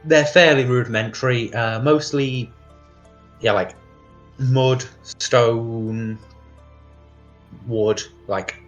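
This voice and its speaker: Guy game mastering, casual, halting, thinks out loud, mid pitch, faint music under it. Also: steady tone, lo-fi sound. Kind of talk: improvised tabletop game narration, casual.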